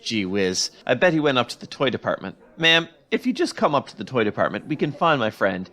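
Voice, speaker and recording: Flustered teenage boy, swooping pitch. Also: very animated, fairly quick, sharp tone. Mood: flustered protest. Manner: exclamatory, hesitant, gee-whiz eagerness. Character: naive, flustered teenage boy, earnest and hapless. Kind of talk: 1940s radio sitcom acting.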